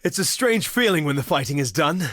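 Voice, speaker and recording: An actor playing a vain, flirtatious gladiator duelist in a video game, with a theatrical, suave and sardonic delivery. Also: male voice, fairly high pitch, very animated, a little fast, a bit bright, dry recording, clean studio sound. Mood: triumphant, boastful. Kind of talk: scripted game voice lines, theatrical quips.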